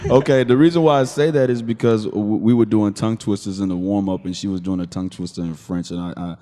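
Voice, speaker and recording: A man hosting a casual interview podcast, laid-back and quick to answer with "okay" and "no doubt". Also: lowish voice, steady tone, dark tone, in a large hall. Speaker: podcast host. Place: room in a shared studio space.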